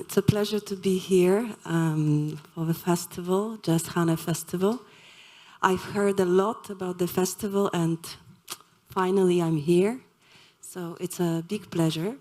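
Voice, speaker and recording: Woman, unhurried delivery, frequent pauses, live lecture. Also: mid-range voice, a little mellow. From Poland. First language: Polish. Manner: slow, hesitant, many fillers.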